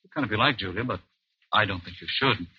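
A man's voice: on the low side, quick pace, breathy edge, dull audio. A man acting an insistent, persuasive family doctor who talks down to his patient in an old-time radio suspense drama. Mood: soothing, insistent. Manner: patronizing, persuasive. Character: smooth-talking, insistent male family doctor.

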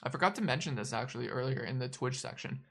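Very deep-voiced woman hosting a stream. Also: quick pace, a bit bright. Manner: teasing, pushes for answers, talks to chat. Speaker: live stream host. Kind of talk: casual interview chat.

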